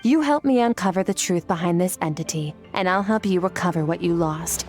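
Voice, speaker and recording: A cool, detached woman mentor, voiced by an actress with a lowish voice, keeping every word curt and businesslike. She explains things calmly and without warmth, over faint game music. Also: brisk, lightly acted. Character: aloof, cool-headed woman mentor from the Abyss. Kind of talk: video game voice acting.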